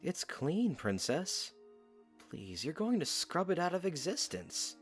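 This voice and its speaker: A young man in an audio drama, voiced by a male actor, speaks with lively, shifting pitch. He is teasing but keeps his guard up. Music plays behind him. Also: takes it slowly, full character voice. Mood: teasing, casual.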